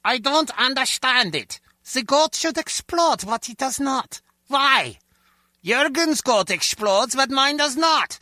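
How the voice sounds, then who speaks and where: Comic game lab assistant, male: very high, slow, stilted, petulant and suspicious. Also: animated, bright, forceful tone, no room sound, clean studio sound, exaggerated voice. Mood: baffled. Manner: stilted, insistent. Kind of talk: comic video game acting.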